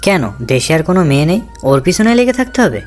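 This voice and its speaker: A woman's voice: lowish voice, sing-song, a little fast, faint music behind, pitched below the performer's own voice. A woman voicing a stern, impatient middle-aged father in a romantic story, brusque and businesslike. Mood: irritated. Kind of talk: dramatised romantic story dialogue.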